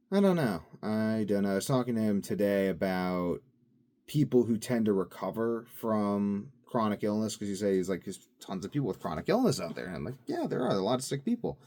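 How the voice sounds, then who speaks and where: Rambling low-voiced man vlogging. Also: very animated, moderate pace, dark tone. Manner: rambling, upbeat, meandering with fillers and restarts. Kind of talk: casual daily video diary.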